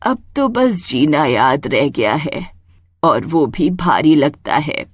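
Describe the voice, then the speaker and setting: A woman voicing a sad, gentle, lonely old witch in a storybook tale, speaking in a slow, halting, weary way. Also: sits low, big pitch swings, husky, no room sound, studio quality, voice pushed down, heavily acted. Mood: weary, moved.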